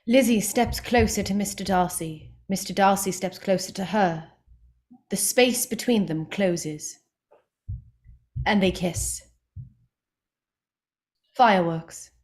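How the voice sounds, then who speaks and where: A woman with a mid-range voice reads stage directions at a slow pace. Her delivery is scripted narration in short present-tense phrases, setting out action and scene for a play reading. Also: mid-range voice.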